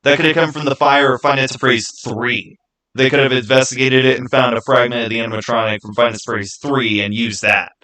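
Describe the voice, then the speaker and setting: A man's voice: medium pitch, fairly even intonation, fast talker, bright, forceful tone, rough recording. A man hosting a live stream, talking casually with viewers in an emphatic, insistent way and often repeating his phrases. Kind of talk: casual live chat with viewers, arguing game theories.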